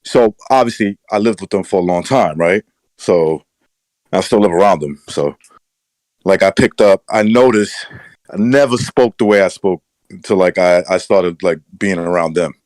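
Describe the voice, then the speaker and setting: A man's voice: sits low, normal speed, darkish tone, dry recording, low-quality recording. A man co-hosting a boxing podcast, with a mixed Southern and New York American accent, telling rambling, candid stories. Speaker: podcast co-host. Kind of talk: casual chat.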